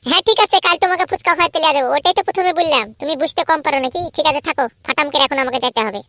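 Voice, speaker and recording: A woman's voice: high voice, racing, bright, forceful tone. A woman voicing a cheeky, boastful young male troublemaker in a comedy cartoon, with a brash, cocky manner. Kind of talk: cartoon voice acting.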